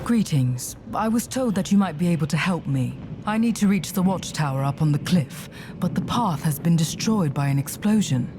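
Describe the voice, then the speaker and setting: Woman playing determined game heroine, lowish voice, direct, noisy ambience behind. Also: expressive, moderate pace, hardly any pauses, close to an everyday voice. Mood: direct, curious. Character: determined, questioning young woman adventurer hero. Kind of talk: video game voice acting. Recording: clear background ambience noise.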